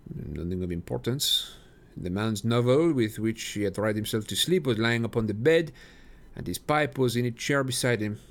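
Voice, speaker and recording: A man reading aloud and voicing a male police inspector in a steady, matter-of-fact way. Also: mid-range voice, moderate pace, close to an everyday voice. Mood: reporting.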